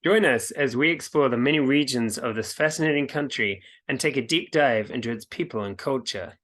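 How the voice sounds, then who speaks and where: Man, podcast co-host, mid pitch, curious, asks questions. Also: brisk, fairly bright tone. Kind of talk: podcast interview.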